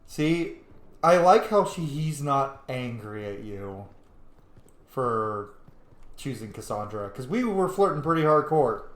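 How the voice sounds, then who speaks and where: A man's voice: medium pitch, big pitch swings, slow. A man doing casual, slangy, sweary playthrough commentary, reacting to game dialogue. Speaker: gaming host, player. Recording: echoey room sound.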